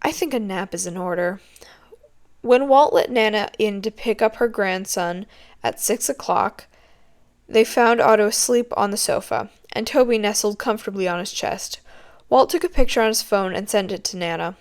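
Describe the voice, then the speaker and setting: A woman reads a story aloud in scripted narration. She is an amateur audiobook reader, and her voice sits at an average pitch for a woman. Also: normal speed.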